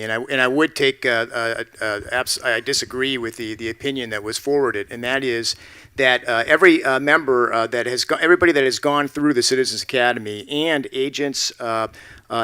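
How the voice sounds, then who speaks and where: A man with a bright, crisp, mid-pitched voice. He explains as a federal agent on a panel would, in halting phrases full of hesitation. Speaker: FBI agent on a forum panel.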